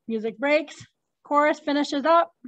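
High-pitched woman directing a play reading. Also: slow pace, no echo. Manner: animated prompting with informal asides. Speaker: teacher leading a class read-through.

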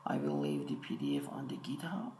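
A man with an Iranian accent giving a casual hands-on tutorial in short, halting phrases. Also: a bass voice, huge pitch swings, dark tone. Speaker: electronics hobbyist presenter. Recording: roomy echo with faint noise.

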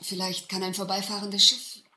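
A woman's voice: medium pitch, level tone, moderate pace, pushed and bright. An actress playing a refined young lady passenger in an audio sea drama, anxious and indignant. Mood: distressed. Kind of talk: German audio adventure drama acting. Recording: roomy old radio-play recording, faint noise.

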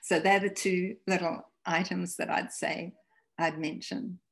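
A woman in her sixties from New Zealand, a school principal telling stories in a video-call interview, halting and restarting. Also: on the low side, fairly even intonation, creaky. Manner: warm storytelling, halting restarts. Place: home room, indoors. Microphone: video call webcam.

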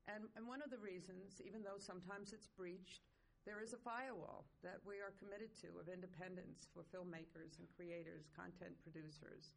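A middle-aged woman speaking on a conference panel, earnest and measured, with frequent fillers. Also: lowish voice, steady tone, gravelly, slight background noise, poor audio. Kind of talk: panel discussion.

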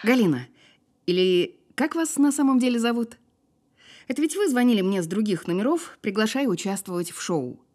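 A woman's voice: fairly high pitch, animated, a little mellow. An actress playing a wry, guarded woman in an audio drama, sparring with dry sarcasm. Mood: suspicious, sardonic. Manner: dry, sardonic, unhurried sparring. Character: wry, guarded woman who survived a fall. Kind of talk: naturalistic audio drama acting.

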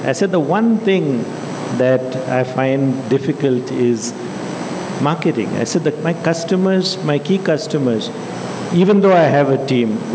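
A middle-aged man speaking earnestly into a handheld mic on a stage panel, sharing reflective business stories. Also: mid-range voice. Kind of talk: panel discussion. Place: large stage hall. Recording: echoey hall with faint hum.

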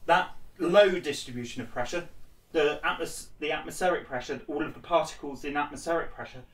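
A man's voice: fairly high pitch, brisk, fairly bright tone. A man in his twenties informally explaining a lesson step by step, as an instructor at a blackboard. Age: 20s. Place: small bare indoor room. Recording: echoey room, distant microphone.

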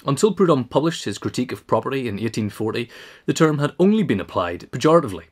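A man's voice: medium pitch, big pitch swings, quick pace. A man reading scripted narration, as in an audio essay. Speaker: reader.

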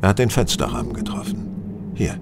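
A man playing a confident, brilliant gentleman detective in an audio drama, speaking precisely and courteously, as if lecturing. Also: low voice, big pitch swings, creaky, very noisy, full character voice. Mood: grave, assured. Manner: precise, measured, didactic, courteous. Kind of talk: German audio drama acting.

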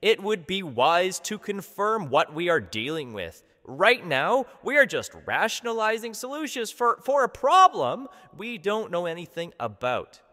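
A man's voice: sits high, big pitch swings, measured pace, few pauses, bright, forceful tone, heavily acted. A man playing an exasperated but reasonable Canadian committee member in an audio drama. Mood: earnest. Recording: echoey hall.

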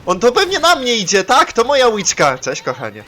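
A man's voice: very high voice, wildly swooping pitch, brisk, pushed and bright, a little hiss or hum. A man casually bantering and joking with friends while playing a co-op video game, swearing now and then. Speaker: gamer in co-op gameplay session.

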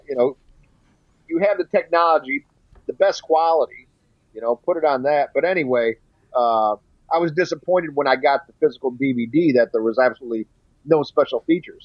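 A man's voice: mid-range voice, animated, brisk, no echo. Blunt, sweary man on a slightly dull podcast mic. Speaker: podcast co-host reviewing a music documentary. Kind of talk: casual chat.

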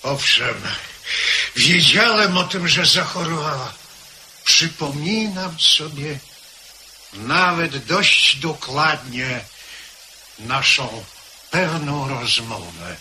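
A gentle village priest. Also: male voice, fairly high pitch, animated, drawn-out words, long pauses, slight background noise, heavily acted, low-quality recording. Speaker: parish priest. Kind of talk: 1970s radio drama acting.

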